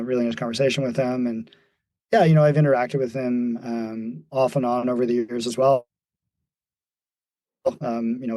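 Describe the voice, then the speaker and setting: A man's voice: mid-range voice, warm tone, no room sound, rough recording. A man in his forties, a podcast guest, talking reflectively in casual conversation, with many fillers and restarts. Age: 40s. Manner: thoughtful, many fillers and restarts, laughs easily. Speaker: podcast guest, mountain runner.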